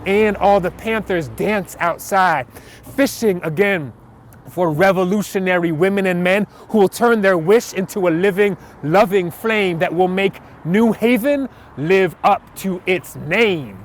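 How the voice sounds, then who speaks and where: Male spoken word poet, high-pitched, dramatic, rhythmic, emphatic, outdoor street noise. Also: a little slow. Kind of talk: performed slam poetry recital. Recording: outdoor recording with clear traffic noise.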